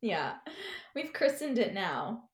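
A woman's voice: medium pitch, very animated, slow pace. A woman chatting casually as a podcast guest, slangy and peppering her speech with "like". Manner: slangy, heavy use of "like". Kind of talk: casual, giggly anecdote chat.

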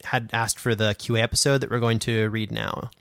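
A man's voice: on the low side, flat delivery, fairly quick, creaky, fairly bright tone, no echo, clean studio sound. A man in his twenties co-hosting a podcast, explaining things in a casual, conversational way. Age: 20s. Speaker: podcast co-host and sound designer.

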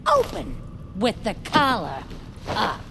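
Casting: a brash, self-adoring sidekick in family audio fiction, gravelly edge, unhurried, stubborn and emphatic. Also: female voice, a little high, huge pitch swings, pushed and bright, a little hiss or hum, heavily acted. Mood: defiant. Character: vain, brash, stubborn cartoonish henchwoman in love with herself.

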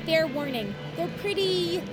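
Casting: cheeky convention pal, high voice, casual playful banter, music behind. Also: female voice, expressive, measured pace. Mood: breezy. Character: chatty, cheeky young female convention-goer. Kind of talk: audio drama, casual banter.

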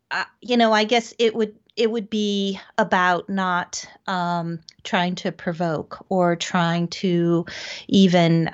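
A middle-aged woman answering as an interview guest in a thoughtful, tentative conversational way. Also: lowish voice. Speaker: interview guest, psychic medium. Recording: dull lo-fi call audio.

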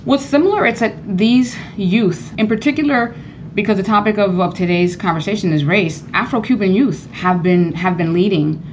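A Cuban-born woman professor speaking earnestly as a radio interview guest, growing animated when she presses a point. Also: normal speed, slight background noise, rough recording. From Cuba. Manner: earnest, animated, rising high when emphatic.

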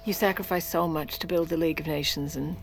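An actress playing a crafty, middle-aged First Lady in an audio drama, brisk and wry. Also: low-pitched, steady tone, gravelly, soft background music. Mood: guilty, pleading. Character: determined, crafty First Lady, secretly running the presidency. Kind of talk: modern audio drama acting and first-person narration.

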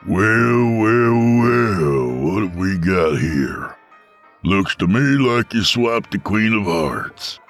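A man voicing a brutal old-west gunslinger with a gravelly, threatening voice. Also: fairly low pitch, animated, takes it slowly, faint music behind, pitched above the performer's own voice. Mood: mocking.

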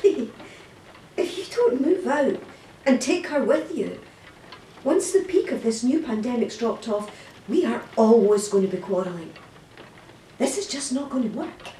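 Echoey, high-voiced exasperated mother played by an actress. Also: lively intonation, unhurried, pauses often, warm tone. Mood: frustrated, insistent. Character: exasperated, outspoken mother running a crowded household. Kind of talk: audio play acting. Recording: echoey, distant, faint noise.

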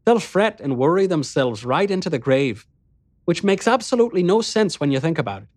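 A sweet, alluring woman, as a male reader puts her on, coaxes and teases in a gentle, motherly way. Her voice sits well above his own and rises playfully. The recording is dry and close. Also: a little high, very animated, fairly quick, dry recording, voice pushed up. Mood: coaxing. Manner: gently teasing, soothing, motherly coaxing. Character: sweet, coaxing, seductive woman of a strange house.

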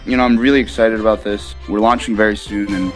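A man's voice: lowish voice, fairly even intonation, quick pace, soft background music, poor audio. A man being interviewed, explaining his work enthusiastically in a conversational way, often checking in with "right?". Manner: conversational, punctuates points with "right?" and "you know". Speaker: guest, app founder being interviewed.

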